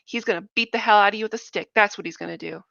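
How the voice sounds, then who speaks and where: A woman's voice: medium pitch, racing, gravelly, a bit bright, dry recording. A woman voicing a gigantic, scornful rabbit that taunts cruelly in a storybook reading. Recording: dull lo-fi dry recording.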